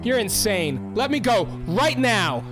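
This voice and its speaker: A man as panicky selfish mayor, lively swinging voice, shouting then begging, faint music. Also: high-pitched, slow pace, heavily acted. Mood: defiant angry. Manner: shouting, pleading, frantic. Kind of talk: audio drama acting.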